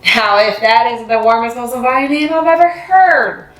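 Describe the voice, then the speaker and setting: A woman plays a kindly older man from a children's storybook, jolly and reassuring. The words come with warm emphasis in a roomy space, with music behind. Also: on the high side, moderate pace, pitched above the performer's own voice, natural voice. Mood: encouraging. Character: kindly, jolly older male school janitor. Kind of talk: children's storybook read-aloud.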